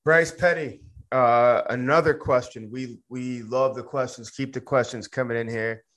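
A man's voice: medium pitch, steady tone, moderate pace, a bit bright. A man hosting a sports podcast, reading listener questions and a sponsor ad in an upbeat, casual way. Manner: upbeat, moves the show along, reads sponsor ad. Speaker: podcast host. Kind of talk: casual sports talk and ad read.

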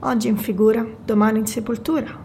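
A woman's voice: a little high, fairly even intonation, very fast, dark tone, slight background noise, natural voice. A woman playing a chatty, fatalistic Venetian waitress in an audio drama, speaking English with an Italian accent and non-native phrasing. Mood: fatalistic, reflective. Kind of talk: naturalistic audio drama acting.

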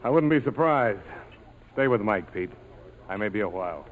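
An actor playing a tough, cool American major with a wry, clipped, persuasive way of talking in 1940s radio style. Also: male voice, on the low side, lively intonation, moderate pace, natural voice. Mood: firm. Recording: noisy old 1940s radio broadcast.

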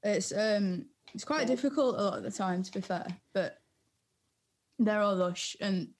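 A young adult woman from North East England speaks with her regional accent at a medium pitch. She chats informally and without pretension, as a guest in a relaxed conversation. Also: unhurried, a little hiss or hum. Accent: North East English. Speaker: interview guest, music producer. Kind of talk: casual chat.

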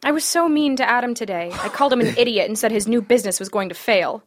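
A woman's voice: a little high, fast talker, natural voice. A woman reading the part of an earnest, stern young landlord in a comedic sitcom table read. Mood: regretful.

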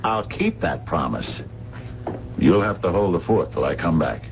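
A man's voice: deep voice, lively intonation, unhurried. An actor in a radio mystery drama playing a streetwise working-class sleuth, slangy and emphatic as he reasons step by step. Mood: firm, guarded. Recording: muffled, noisy 1970s radio broadcast.

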